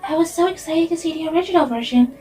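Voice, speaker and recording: A polite, enthusiastic young dancer acted by a woman speaks in a high, sweet voice. It is fan-dubbed game dialogue with faint music behind. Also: fast. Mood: excited, eager. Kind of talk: fan dub of a fantasy game.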